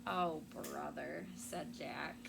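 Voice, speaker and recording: A woman teacher reading a story expressively to children and pausing to explain words simply, with noise behind her. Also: low-pitched, steady tone, vocal fry. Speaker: elementary school teacher. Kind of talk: read-aloud storytelling to children, with questions and vocabulary asides. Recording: loud background noise.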